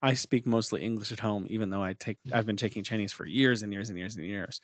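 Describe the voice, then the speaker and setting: A man's voice: fairly low pitch, fast, no echo. A man hosting a podcast interview, introducing his guest and asking questions in a friendly, hesitant way with many fillers. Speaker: podcast host.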